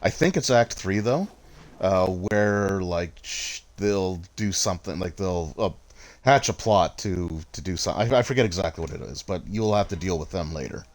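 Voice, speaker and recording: A man's voice: deep voice, moderate pace. A man streaming a video game, chatting casually in a rambling way full of fillers, his voice getting tired.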